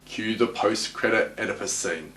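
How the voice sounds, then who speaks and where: A man delivering recap and analysis commentary as a host, with wry, clipped deadpan quips. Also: fairly low pitch, moderate pace, a little hiss or hum. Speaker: host of a TV episode analysis show. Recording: roomy, echoing voice recording.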